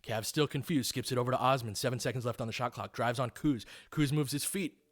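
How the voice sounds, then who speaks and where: A man's voice: medium pitch, fast. A man giving live, informal basketball play-by-play as a partisan fan, breaking down tactics in detail as he goes. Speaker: play-by-play commentator.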